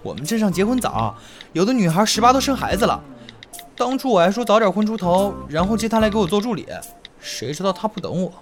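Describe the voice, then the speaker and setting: Actor as an excitable, lively young male idol. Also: high-pitched, fast, faint music behind. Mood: rueful. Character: excitable twenty-year-old male idol from a small town. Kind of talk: audio drama acting.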